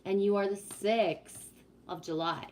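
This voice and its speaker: A woman in her fifties hosts a casual livestream and chats freely with her viewers. Her voice sits at an ordinary middle pitch, with a faint hiss of noise behind it. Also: steady tone, a little slow, darkish tone. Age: 50s. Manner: chatty, greets viewers, spiritual talk. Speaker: livestream host.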